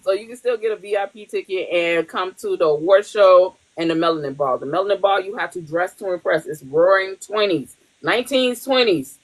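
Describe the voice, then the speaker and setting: A woman's voice: on the low side, fairly quick, hardly any pauses, rough recording. A woman hosting a podcast in casual chat, blunt and profane, laughing often. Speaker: podcast host.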